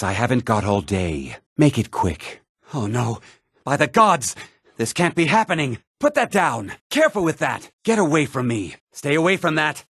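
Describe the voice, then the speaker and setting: Casting: condescending fantasy RPG townsman, short-tempered, lively swooping intonation, close dry sound. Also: mid-range voice, husky, no echo. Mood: businesslike, then alarmed. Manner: condescending, curt, sneering. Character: haughty, irritable townsman of a fantasy realm. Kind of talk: fantasy video game character lines.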